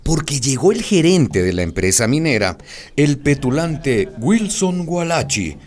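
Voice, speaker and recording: A man's voice: medium pitch, big pitch swings, measured pace, a little mellow. An actor playing a friendly neighborhood man who narrates a radio drama in a chatty storytelling manner.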